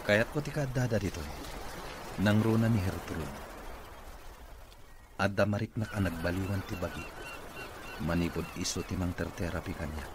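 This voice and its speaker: An actor with an Ilocano accent playing an earnest, grateful boss who is a recovering patient, in a radio drama. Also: male voice, deep voice, moderate pace, pauses often, close to an everyday voice. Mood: weary, hopeful. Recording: noisy radio drama recording.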